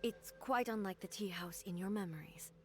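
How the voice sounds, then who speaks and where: Woman voicing a calm regal goddess ruler, quiet and measured, music under her. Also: mid-range voice, lightly acted. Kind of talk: video game cutscene voice acting.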